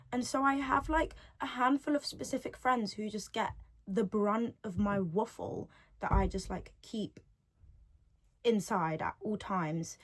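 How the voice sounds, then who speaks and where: An eighteen-year-old woman hosting her own solo podcast from home, chatting and rambling freely, often repeating her own phrases, recorded on a device's built-in mic with no podcast microphone. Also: on the high side. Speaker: podcast host.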